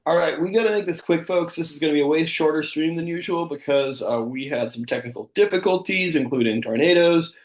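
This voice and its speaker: A man co-hosting a live comedy show, bantering casually with a deadpan, mock-earnest delivery. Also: on the high side, brisk, few pauses. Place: online video call. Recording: roomy, echoey sound.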